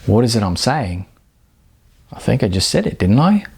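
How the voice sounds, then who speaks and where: Man reading a casual, evasive boyfriend, slightly husky. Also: on the low side, sing-song, normal speed, a little mellow, exaggerated voice. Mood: evasive, apologetic. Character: casual Australian boyfriend, talent agent, evasive and apologetic.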